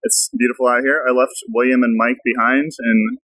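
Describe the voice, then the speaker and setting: A man with a bright, slightly husky voice hosts a podcast interview. His delivery is loose and chatty, full of "like" fillers, and the sound is dry and close. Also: medium pitch, fairly quick, no room sound, poor audio. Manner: relaxed, many fillers like "like". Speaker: podcast host. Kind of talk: casual interview chat. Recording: outdoor field recording.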